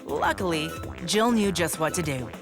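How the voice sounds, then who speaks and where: Low-voiced woman narrating a children's story. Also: lively intonation, moderate pace. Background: music.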